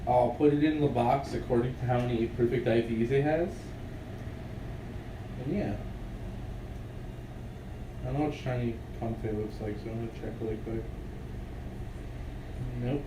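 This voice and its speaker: A man's voice: medium pitch, moderate pace, long pauses. A young man with a congested, sniffly voice, chatting offhand to his stream as he plays. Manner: rambling, offhand, talks to himself. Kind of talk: casual stream chatter while playing. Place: room at home. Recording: echoey room, mic at a distance, background noise.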